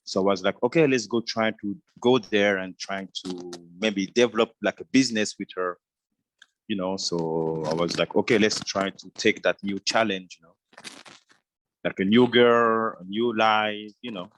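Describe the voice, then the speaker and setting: A man from Switzerland, a native French speaker with a French accent, answering interview questions casually and haltingly with constant verbal tags. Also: lowish voice, fairly bright tone, poor audio. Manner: halting, repeats "you know" constantly. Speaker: interview guest, businessman.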